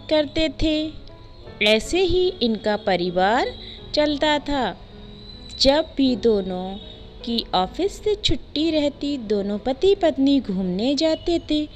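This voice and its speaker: A woman narrating a scripted moral story in simple, deliberate short phrases. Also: high-pitched, very animated, faint music behind.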